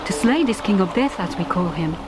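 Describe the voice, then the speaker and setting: An actress playing a proud, frank young Japanese island diver in a radio drama, with direct, formal phrasing. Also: female voice, mid-range voice, lively intonation, a little fast, natural voice. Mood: solemn.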